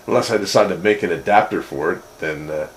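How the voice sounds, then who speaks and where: Male hobbyist, lowish voice, relaxed technical chat, faint noise behind him. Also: fast. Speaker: radio hobbyist. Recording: steady background noise.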